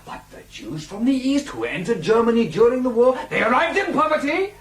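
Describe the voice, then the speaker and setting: High-pitched, fanatical political demagogue. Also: male voice, sing-song, brisk, heavily acted. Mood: bitter, rousing. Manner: declamatory, builds to shouting. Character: fanatical nationalist demagogue, ex-soldier, haranguing a meeting. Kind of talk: radio drama political oratory.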